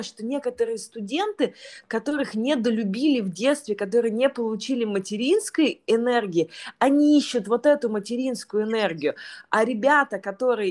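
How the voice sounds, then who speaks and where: A woman teaching yoga and trained as a clinical psychologist, speaking thoughtfully in an interview, with many fillers and restarts. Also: fairly high pitch. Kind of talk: reflective interview conversation.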